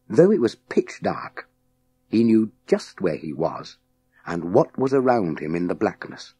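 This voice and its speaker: A man reads a story aloud in a low, mellow voice with a slightly husky edge. His delivery is measured and dramatic, very slow, with deliberate pauses between phrases. He sounds like a practised audiobook narrator telling children a tale. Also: no echo. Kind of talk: scripted storytelling narration of a children's science fiction novel.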